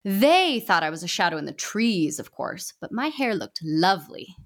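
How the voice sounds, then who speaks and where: A woman voicing a shrill, talkative old female ghost with a scheming streak. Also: big pitch swings, normal speed. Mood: sly gossipy. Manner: talkative, sly.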